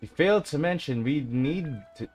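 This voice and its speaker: Low-voiced woman reacting to a game. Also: lively intonation, normal speed. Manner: playful, mutters short reactions. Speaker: streamer. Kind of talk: casual gameplay reaction commentary. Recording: game music clearly behind.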